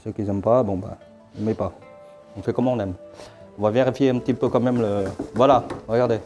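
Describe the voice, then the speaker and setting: Halting chef, mellow voice. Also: male voice, lowish voice, fairly even intonation. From Vietnam. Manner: hesitant, many fillers, halting and repetitive. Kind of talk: cooking demonstration. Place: restaurant kitchen. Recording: faint music behind.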